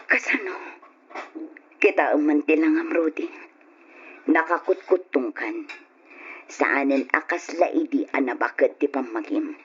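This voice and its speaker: An actress playing a worried, nagging wife in an old radio drama, plaintive and scolding, with a husky voice. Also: female voice, sits low, unhurried, pauses often, dull audio, slight background noise. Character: worried, nagging wife pleading with her drunkard husband.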